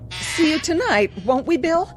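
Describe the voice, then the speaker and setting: A woman's voice: high voice, sing-song, a little slow. A small-town housewife. She chats in a folksy, carefully pleasant way with widely moving intonation. Faint music plays behind. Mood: forced cheer. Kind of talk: audio drama acting.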